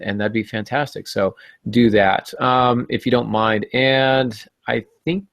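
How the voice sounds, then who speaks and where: A man's voice: medium pitch, no room sound. A middle-aged man hosting a podcast in a casual, joking, tongue-in-cheek way. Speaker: podcast host.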